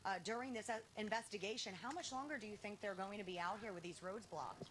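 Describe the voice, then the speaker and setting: A woman's voice: mid-range voice, fast, fairly bright tone, a little hiss or hum. A woman television field reporter delivering a live news report outdoors at night. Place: outdoors at night at a crash scene.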